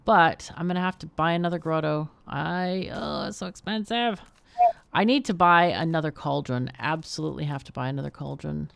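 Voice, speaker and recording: A woman giving casual live game commentary, chatty and expressive, often muttering to herself as she thinks aloud. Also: low-pitched, a little fast, vocal fry, hardly any pauses, smooth, no echo. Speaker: game streamer, host.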